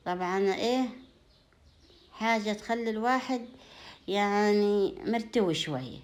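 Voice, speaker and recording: A woman with an Upper Egyptian Sa'idi accent chatting casually to her viewers in a home vlog, in a folksy way full of blessings and endearments. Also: mid-range voice. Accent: Upper Egyptian (Sa'idi) Arabic. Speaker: home vlogger.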